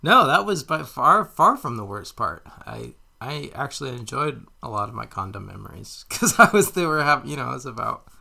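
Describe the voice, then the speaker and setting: A man co-hosting a podcast in casual chat, teasing with quick quips and frequent laughs. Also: mid-range voice, big pitch swings, vocal fry, pushed and bright. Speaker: podcast co-host.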